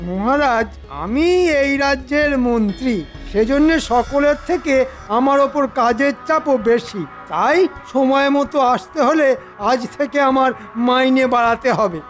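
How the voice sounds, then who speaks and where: A man's voice: extremely high pitch, measured pace, faint music behind. A man playing a scheming, petulant, self-important cartoon minister, whiny and argumentative. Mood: indignant. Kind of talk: Bengali cartoon voice acting.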